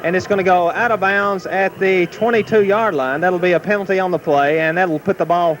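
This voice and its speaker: A young man doing play-by-play commentary of a high school football game, steady and informative, climbing to excited shouts on big plays, recorded outdoors at a stadium. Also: fairly quick. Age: young adult. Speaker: play-by-play announcer. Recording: noisy amateur 1970s recording.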